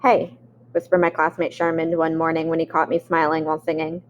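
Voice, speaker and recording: A woman's voice: deep voice, brisk, highs cut off. Woman reading a memoir aloud. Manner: expressive reading with occasional restarts. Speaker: audiobook reader.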